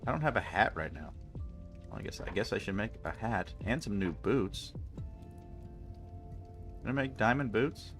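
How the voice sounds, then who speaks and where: A man's voice: on the low side, lively intonation, unhurried, pauses often, faint music behind. A man with a stuffy nose chatting casually and rambling jokily with his chat while streaming a game. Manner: rambling, jokey, talks to chat.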